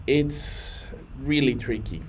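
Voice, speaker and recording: Man, earnest to-camera vlogger, mid pitch, faint room noise. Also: lively intonation. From Colombia. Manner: earnest, measured, with fillers and restarts. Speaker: vlogger on data careers. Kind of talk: to-camera talk.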